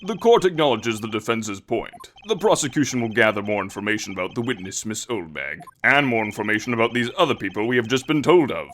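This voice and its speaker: A man's voice: fairly low pitch, lively intonation, fast talker, hardly any pauses, a bit bright, slight background noise. A man voicing a formal, stately elderly courtroom judge in a video game fandub, speaking in declarations. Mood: authoritative.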